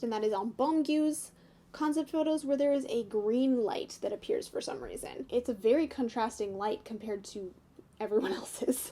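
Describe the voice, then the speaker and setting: Casting: young woman, North American accent, higher pitch, mellow tone, chatty vlog host thinking aloud. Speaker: YouTube host giving fan commentary. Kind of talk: casual analysis chat to viewers.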